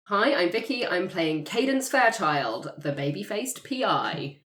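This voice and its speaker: A woman's voice: on the low side, sing-song, measured pace, a bit bright. A woman chatting casually as a player on a roleplay show. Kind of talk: casual table chat. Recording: roomy echo.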